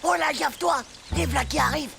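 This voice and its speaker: A woman's voice: sits high, brisk, vocal fry, very noisy. A woman voicing a cocky, bossy schoolboy in a comedy cartoon, boastful and dramatic in the way of talking. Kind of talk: animated cartoon voice acting. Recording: music behind the voice.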